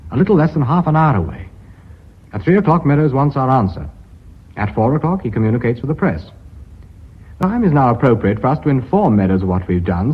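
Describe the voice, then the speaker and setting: Husky-voiced British company chairman. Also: medium pitch. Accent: British English. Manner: courteous, measured, businesslike. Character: formal, authoritative company chairman running a board meeting. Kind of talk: British radio drama acting. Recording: muffled old radio recording.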